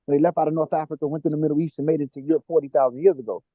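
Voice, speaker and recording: A man with a high voice talks through ancient ancestry in a casual podcast, restarting sentences as his ideas tumble out. His voice sits close and dry on the mic. The sound is dull and lo-fi. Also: rapid-fire, dry recording. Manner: excited, talks through genetic terms with restarts. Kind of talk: casual discussion of genetic ancestry.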